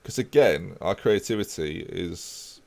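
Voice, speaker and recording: A man's voice: low-pitched, slow pace, creaky. A man casually chatting with his live-stream viewers while playing a football management game. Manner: relaxed, chatty, talks to chat while playing.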